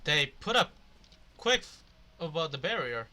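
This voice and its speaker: A man's voice: fairly high pitch, sing-song, unhurried, pushed and bright. A man doing casual, rambling gameplay commentary, swearing now and then. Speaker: video game streamer.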